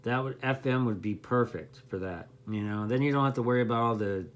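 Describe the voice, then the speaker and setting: A man's voice: medium pitch, brisk, a little hiss or hum. A man giving a casual, unscripted hobby explainer in a rambling, folksy way, often correcting himself as he goes. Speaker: CB radio hobbyist explaining gear to beginners.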